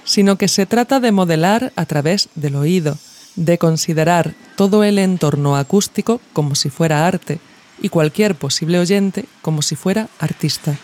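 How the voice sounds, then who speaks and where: A narrator reads a scripted audio essay in a measured, reflective way, phrasing each thought deliberately. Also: mid-range voice, a little mellow. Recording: music behind the voice. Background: music.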